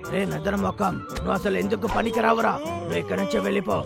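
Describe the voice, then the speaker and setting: Bossy older bear brother, cartoon, heavily gravelly, high-pitched, gruff, loud music behind. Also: male voice, fairly even intonation, racing. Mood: scolding. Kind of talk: exaggerated cartoon dub acting.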